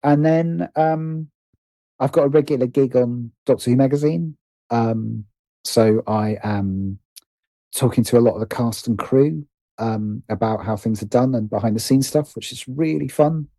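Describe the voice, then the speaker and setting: A man's voice: mid-range voice, unhurried, dark tone, no room sound. A British man speaking as a podcast guest in casual conversation, hesitant and thoughtful as he circles around his points. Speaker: podcast guest, writer and producer.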